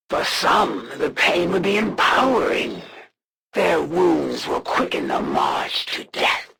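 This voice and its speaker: A woman voicing a proud, menacing alien assassin for a video game, speaking formally and scornfully with a gravelly, theatrical delivery. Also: deep voice, a bit bright, a little hiss or hum, exaggerated voice. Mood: cold menace. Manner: formal, haughty, measured and threatening.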